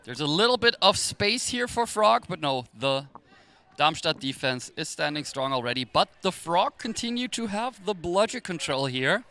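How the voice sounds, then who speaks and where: Man, Austrian accent, native German speaker, very bright voice, fairly high pitch, chatty sports commentary. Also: big pitch swings, normal speed. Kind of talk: live quadball match commentary, conversational.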